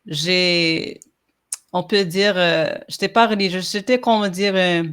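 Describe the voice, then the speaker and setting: A woman's voice: lowish voice, fairly even intonation, unhurried, a bit bright, clear, resonant tone. A woman from Montreal whose first language is English, a podcast guest talking in a casual interview, hesitant with many fillers and restarts. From Montreal, Quebec.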